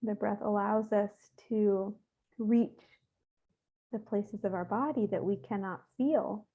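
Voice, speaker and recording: A woman leading a guided meditation, speaking gently and without hurry, with soothing instructions and pauses. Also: medium pitch, fairly even intonation, husky. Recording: thin, phone-like narrow-band audio.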